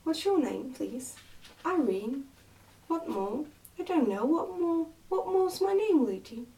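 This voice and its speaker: Woman voicing an earnest little princess, higher-pitched. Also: sing-song, slow, warm tone, distant mic, heavily acted. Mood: curious, insistent. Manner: earnest, childlike, insistent. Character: trusting, outspoken, affectionate little princess girl.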